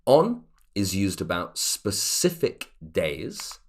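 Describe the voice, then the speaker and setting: A man's voice: sits low, huge pitch swings, takes it slowly. A man teaching an online English grammar lesson, explaining patiently and checking in with the viewer as he goes.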